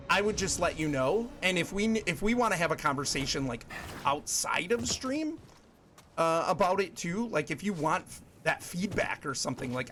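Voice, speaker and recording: A man's voice: fairly high pitch, a bit bright, slight background noise. A man in his forties live-streaming a game, chatting casually with viewers and laughing often. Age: 40s. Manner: chatty, rambling, quick to laugh. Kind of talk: casual live-stream chat while gaming.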